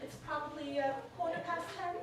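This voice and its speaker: A woman's voice: high-pitched, fairly even intonation, brisk, crowd noise, lightly acted. A woman playing a coy, haughty shop owner in a 1940s radio drama, with an airy, affected manner. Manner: airy, affected, calling people darling. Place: theater stage, live performance. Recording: echoey stage, distant mic, audience.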